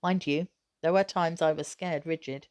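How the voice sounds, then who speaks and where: A woman with a slightly low voice narrates a children's story. She reads expressively, in measured phrases with a storyteller's emphasis. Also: fairly even intonation, a little mellow, smooth. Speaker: teacher reading aloud.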